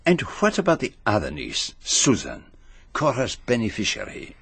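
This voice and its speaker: A man in a British radio drama playing a shrewd middle-aged Belgian detective, precise and quietly inquisitive. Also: medium pitch, wildly swooping pitch, moderate pace, gravelly, breathy edge, no echo, exaggerated voice. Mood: probing.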